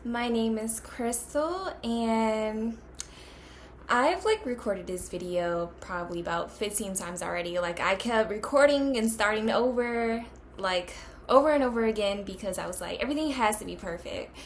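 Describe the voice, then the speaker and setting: A woman's voice: a little high, moderate pace. Woman, 20, chatty vlogger, lots of "like" and "um", faint noise. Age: 20s. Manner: chatty, many fillers like "like" and "um". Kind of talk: casual talk to camera.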